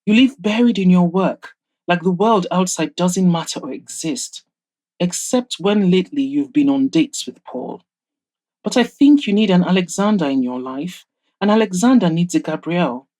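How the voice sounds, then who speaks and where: A woman voicing an excitable, frank young woman who teases her friend in an audio drama, animated and emphatic. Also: lowish voice, normal speed. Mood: earnest, persuasive. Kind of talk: audio drama character dialogue.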